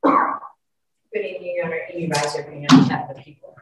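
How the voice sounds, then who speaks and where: Prosecutor, American woman, low-pitched, formal and brief, roomy distant sound with slight noise. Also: steady tone, a little fast. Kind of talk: brief formal courtroom statements. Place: courtroom, far from the mic. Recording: echoey distant courtroom microphone, faint noise.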